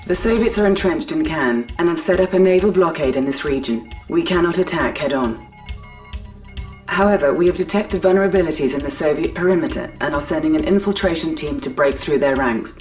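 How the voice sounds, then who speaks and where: A woman's voice: deep voice, fairly quick, a little mellow, natural voice. A woman playing a cool, efficient battlefield announcer in a video game, formal and precise. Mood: formal briefing. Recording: slightly distant, clear music behind.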